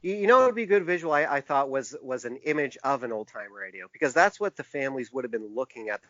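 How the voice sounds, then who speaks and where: A man with a mid-range voice talks casually as an interview guest. He is thoughtful and halting, restarting phrases as he works out his ideas. The sound is close and dry, slightly dull in fidelity. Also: medium pitch, lively intonation, fairly bright tone, no room sound. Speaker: podcast guest, author and publisher.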